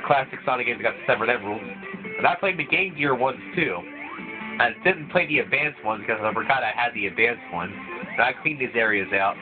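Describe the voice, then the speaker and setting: Male let's-player, rambling, a bit stuffy from a cold; mid-pitched, lo-fi tinny recording. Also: brisk. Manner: rambling, with frequent restarts. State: voice thickened by a cold. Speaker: let's play gamer. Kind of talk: casual gameplay commentary. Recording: tinny, phone-like lo-fi mic. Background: video game music playing.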